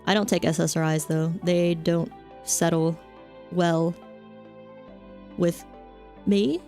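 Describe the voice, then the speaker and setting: Rambling young woman streamer, low voice. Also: fairly even intonation, takes it slowly, warm tone, faint music behind. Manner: rambling, filler-heavy, offhand and joking. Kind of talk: casual chat stream, reading chat.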